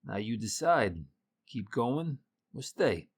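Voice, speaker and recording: A male voice playing a calm, cryptic keeper of an otherworldly store, patient and terse, with a husky tone. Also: medium pitch, slow, no room sound, studio quality, heavily acted. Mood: resigned, calm.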